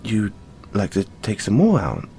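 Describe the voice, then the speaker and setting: Man playing a slow-spoken, shy owl boy librarian. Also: fairly low pitch, huge pitch swings, slight background noise, heavily acted. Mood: polite, helpful. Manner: soft-spoken, hesitant, gently bashful. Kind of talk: audio roleplay addressed to the listener.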